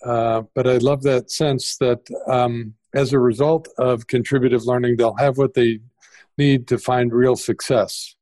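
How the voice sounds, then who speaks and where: A man's voice: medium pitch, steady tone, measured pace, darkish tone, no echo. Hesitant male podcast host. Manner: hesitant, many fillers and restarts. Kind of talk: podcast interview.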